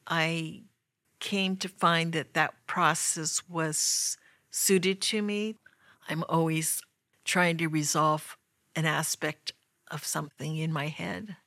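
A woman artist talking reflectively about her work in an interview. She speaks thoughtfully and pauses often. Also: deep voice, fairly even intonation, sharp tone, no echo, studio quality. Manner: slow, thoughtful, pausing often.

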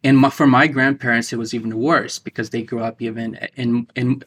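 A man, recalling his family history in an interview, halting with many restarts and fillers. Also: mid-range voice, fairly even intonation, a bit bright, slight background noise. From Ukraine. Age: 30s. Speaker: interview guest, divinity student. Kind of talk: personal recollection in an interview.

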